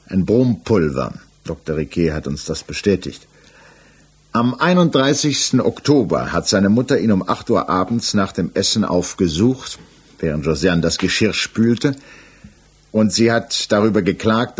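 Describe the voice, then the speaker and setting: Examining magistrate, low and husky, coolly persistent, measured interrogation in 1960s German radio-play style. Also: male voice. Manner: measured, formal, pointed questioning. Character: male examining magistrate, methodical, probing, insistent, coolly persistent. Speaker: actor in a radio crime drama.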